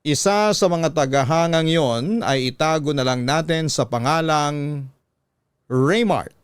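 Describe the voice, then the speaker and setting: A man tells a story in a measured radio narration style. His voice is smooth and resonant, a little high for a man, captured close and dry in a studio. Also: no room sound. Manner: measured, reading a letter in first person. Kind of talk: dramatic scripted radio narration. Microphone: close studio microphone.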